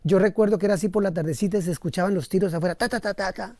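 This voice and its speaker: A man with a Colombian accent, a missionary priest recounting his experiences conversationally in an interview, often pausing to rephrase. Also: sits high, mellow, dry recording, studio quality. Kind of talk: conversational personal storytelling in an interview.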